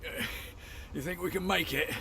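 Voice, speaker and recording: An actor playing an anxious, excitable common soldier in a fantasy video game, jittery and exclamatory. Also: male voice, high-pitched, moderate pace, gravelly, noisy background. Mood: shaken, relieved.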